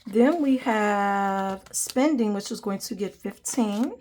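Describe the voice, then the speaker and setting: A woman's voice: mid-range voice, takes it slowly, a little mellow, a little hiss or hum. Nasal young woman with a cold. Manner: friendly, calls viewers "guys", counts money aloud. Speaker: budgeting channel host. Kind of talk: casual chatty vlog narration while counting cash.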